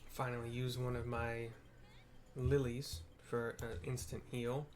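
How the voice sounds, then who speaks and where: A man's voice: medium pitch, flat delivery, measured pace, darkish tone. A man narrating his video game playthrough in a casual, informal, descriptive way. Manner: laid-back, descriptive, informal. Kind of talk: casual gameplay commentary. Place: indoors at a computer.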